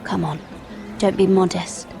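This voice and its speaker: A woman playing a shrewd young noblewoman in a fantasy game, speaking politely and with measured care. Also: lowish voice, fairly even intonation, gravelly. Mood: probing, persuasive. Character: poised, shrewd young noblewoman scheming at court. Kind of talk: fantasy video game acting. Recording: music behind the dialogue.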